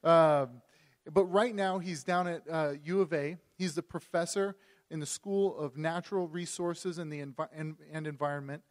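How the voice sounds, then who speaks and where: A man's voice: fairly high pitch, moderate pace. A young man introducing a guest speaker in a casual, joking way. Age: young adult. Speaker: student introducer.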